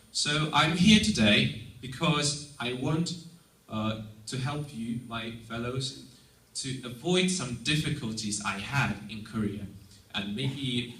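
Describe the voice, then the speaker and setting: A man's voice: medium pitch, fairly bright tone. A young man from Estonia with a Russian accent, a native Russian speaker, giving an earnest prepared talk as an exchange student in a lecture hall. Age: young adult. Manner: earnest, measured, with occasional restarts. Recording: roomy sound, mic at a distance.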